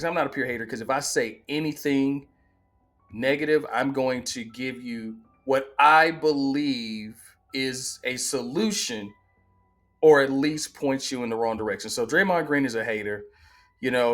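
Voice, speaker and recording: Emphatic man in his forties. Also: medium pitch. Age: 40s. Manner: conversational, emphatic, repeats himself, animated. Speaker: sports channel host. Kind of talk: casual opinion monologue.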